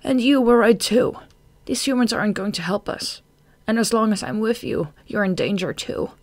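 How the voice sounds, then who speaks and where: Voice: mid-range voice, moderate pace, creaky, no echo, close to an everyday voice. A storybook narrator voicing a practical young female bat, distrustful and bitter, moving between dull muttering and savage intensity.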